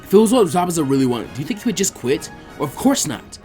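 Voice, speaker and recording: A man performs a brash twelve-year-old ninja boy in a story reading. The delivery is higher-pitched, informal and animated. He pushes his point with earnest, stubborn encouragement. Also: fast talker, soft background music. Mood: encouraging, determined. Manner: informal, emphatic, urging.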